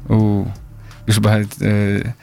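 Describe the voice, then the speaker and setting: A man interviewing guests in relaxed conversation, giving brief encouraging acknowledgments. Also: on the low side, slow pace, creaky, soft background music.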